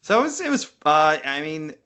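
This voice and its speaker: A man's voice: fairly high pitch, sing-song, moderate pace, bright, forceful tone. A man co-hosting a casual film review podcast over an internet call, speaking with easy enthusiasm. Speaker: podcast co-host.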